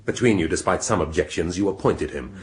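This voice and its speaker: An actor playing a shrewd middle-aged English police inspector in a radio drama, speaking deliberately and rhetorically with dramatic pauses. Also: male voice, low-pitched, lightly acted. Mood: commanding. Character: shrewd, theatrical male police inspector laying out a murder case.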